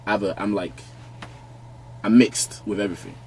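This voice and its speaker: A young Nigerian-born man talks as a guest in a small podcast studio. His voice sits on the low side and his speech is easygoing, full of small restarts. A faint noise floor runs under the studio mic. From London, born in Nigeria. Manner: relaxed, halting, many restarts, laughs easily. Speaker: guest fashion designer. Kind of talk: casual podcast chat. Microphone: studio mic on arm, wearing headphones.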